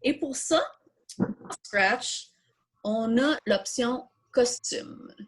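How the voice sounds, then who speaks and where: A woman's voice: fairly high pitch, extremely slow, rough recording. A woman with a Quebec French accent leading an informal step-by-step coding tutorial, thinking aloud and posing questions that she then answers herself. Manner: self-questioning, explanatory. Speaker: coding workshop instructor.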